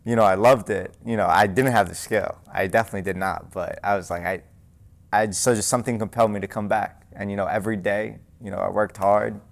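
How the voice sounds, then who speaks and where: Gravelly low young New boxer. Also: male voice, a little fast. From New York. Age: young adult. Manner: relaxed, lots of fillers like "you know". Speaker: guest, amateur boxer. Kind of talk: casual interview. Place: boxing gym.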